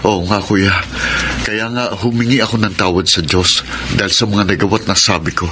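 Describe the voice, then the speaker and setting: Actor as an earnest Filipino son, noisy recording. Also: male voice, on the low side, fairly even intonation, normal speed, lightly acted. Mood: earnest, relieved. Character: anguished married son who cannot father a child. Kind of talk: Filipino radio drama acting.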